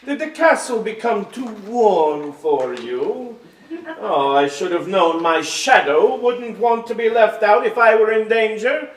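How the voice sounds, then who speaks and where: A man plays a regal king who is fond, wry and commanding. He speaks slowly and formally in a fairly high voice. It is a staged musical reading, heard from afar in an echoey space. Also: expressive. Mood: amused, fond. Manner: stately, measured, formal wording. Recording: echoey stage hall, far microphone.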